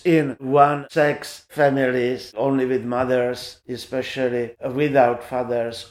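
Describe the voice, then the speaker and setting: Slow, deliberate man in an echoey room. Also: medium pitch, a little mellow. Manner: measured, lists points one by one. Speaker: sexologist. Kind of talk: interview answers.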